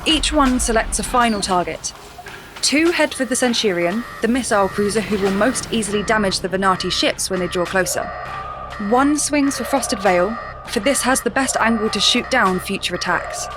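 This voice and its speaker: A woman gives scripted narration for a sci-fi audio drama, explaining events in a measured, even way. Her voice sits at a middle pitch. Clear music plays behind her.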